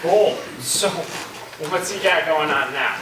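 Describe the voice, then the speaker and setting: A man's voice: on the high side, slow. A man playing an easygoing, curious college student with a casual, slightly hesitant delivery in a staged reading. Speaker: actor in a staged play reading. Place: live staged reading in a reverberant room. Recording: echoey room, distant mic, faint noise.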